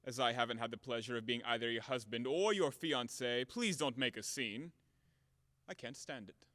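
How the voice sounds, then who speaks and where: A man's voice: medium pitch, normal speed, pushed and bright, close to an everyday voice. Man acting a brash blustering hothead in reverb. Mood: mocking, indignant. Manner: blustering, theatrical, emphatic. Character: brash, hot-tempered, blunt male creditor, grudgingly smitten. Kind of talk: audio play of a classic stage farce.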